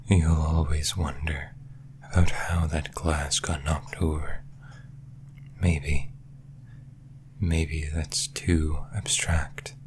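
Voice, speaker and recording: A man voicing a lonely, clingy sleep paralysis demon in an intimate audio roleplay, hushed and hesitant, often slipping into a breathy whisper. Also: rumbling low, slight background noise, exaggerated voice. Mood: hesitant, weary.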